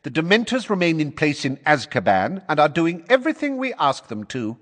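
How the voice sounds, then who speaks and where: Loud blustering minister put on by a man, barking, raised pitch, lively, hall reverb. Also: brisk. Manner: aggressive, lofty, barking. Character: blustering aggressive male minister, middle-aged.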